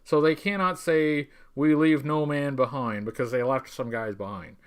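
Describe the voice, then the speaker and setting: A man with a somewhat high voice hosts a casual live stream. He talks in a loose, halting ramble, stopping and restarting as he goes. His manner is wry and tongue-in-cheek, like someone chatting to regular viewers. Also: fairly even intonation, smooth. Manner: rambling, halting, stop-start delivery. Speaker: livestream host. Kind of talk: casual livestream chat reading viewer messages.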